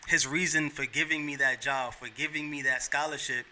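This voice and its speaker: Man in his thirties, from New York, earnest slangy talk, fairly high voice, very bright tone, faint noise behind. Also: steady tone, moderate pace. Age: 30s. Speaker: podcast guest. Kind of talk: casual chat.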